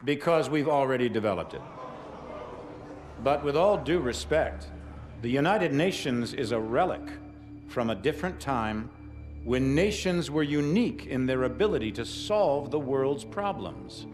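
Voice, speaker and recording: A man playing an arrogant, menacing corporate military chief who gives a formal, defiant speech in a deliberate, commanding way. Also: medium pitch, expressive, darkish tone, natural voice. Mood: measured, smug. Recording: cinematic music behind.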